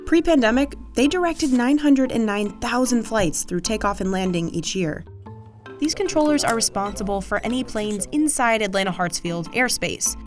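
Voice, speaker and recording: Higher-voiced American woman narrating over music. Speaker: documentary narrator. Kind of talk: scripted documentary narration.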